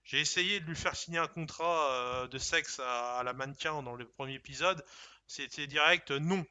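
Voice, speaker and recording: A man's voice: on the high side, fairly quick, pushed and bright, clear, resonant tone. A man streaming a game, reading options aloud and joking in casual commentary.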